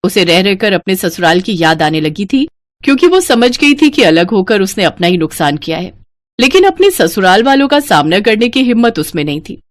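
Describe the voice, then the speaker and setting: Female storyteller for animated moral tales, very lively intonation, mid pitch, dry close recording. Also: moderate pace, no room sound. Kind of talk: scripted story narration.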